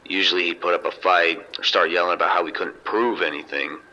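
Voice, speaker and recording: A man playing a seasoned, unrattled casino security chief giving measured, detailed reports over a two-way radio in an audio drama. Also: sits low, fairly even intonation, bright, forceful tone, full character voice. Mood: grave, composed. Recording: dull, noisy two-way radio sound.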